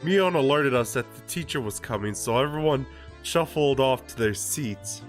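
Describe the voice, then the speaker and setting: A man's voice: mid-range voice, normal speed, soft background music. Stuffy-nosed young man reading casually. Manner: relaxed, laughs often, lots of fillers. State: stuffy, congested from a cold. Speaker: streamer reading a visual novel aloud. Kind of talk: live-streamed casual reading and chat.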